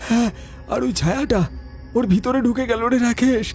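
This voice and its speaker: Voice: mid-range voice, steady tone, moderate pace, a little mellow, faint music behind, natural voice. A performer voicing a panicky, fearful young villager in a horror cartoon, urgent and stammering, repeating words. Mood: distressed.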